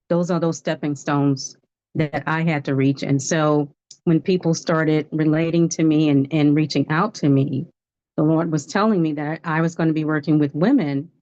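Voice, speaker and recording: A woman in her fifties with a low voice tells her story as a guest, earnest and testifying, now and then laughing at herself. The sound is dry and a little dull, like a lo-fi online call. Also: a little mellow, no room sound. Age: 50s. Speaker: guest life coach. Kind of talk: livestream testimony.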